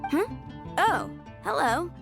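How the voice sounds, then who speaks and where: An eager young knight trainee. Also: female voice, high-pitched, sing-song, slow, creaky, heavily acted. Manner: earnest, enthusiastic, a little flustered. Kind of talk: video game voice acting. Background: game music.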